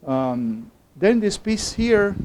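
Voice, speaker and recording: A man from Cuba with a Cuban accent informally presenting his own artwork in a halting, hesitant way. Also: sits high, very animated, darkish tone, slight background noise. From Havana, Cuba. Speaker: artist.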